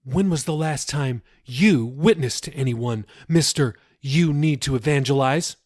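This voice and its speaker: A man voicing a furious twenty-one-year-old daughter who shouts at her father in a high, strained voice. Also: a little slow, natural voice. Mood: defiant.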